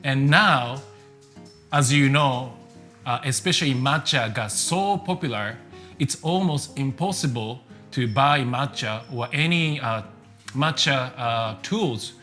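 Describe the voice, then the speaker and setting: Japanese-accented man, bright tone, faint music behind, relaxed self-introduction full of uhs. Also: mid-range voice, takes it slowly. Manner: relaxed, many fillers. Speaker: tea business owner.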